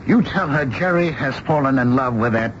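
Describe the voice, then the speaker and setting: An exasperated, middle-aged American dad in a radio play, acted by a man. His pitch leaps up and down as he insists and stammers. The old broadcast sound is dull with a faint hiss. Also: lively intonation, moderate pace, natural voice. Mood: exasperated, scheming. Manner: insistent, stammering, repeating himself. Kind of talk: radio drama acting.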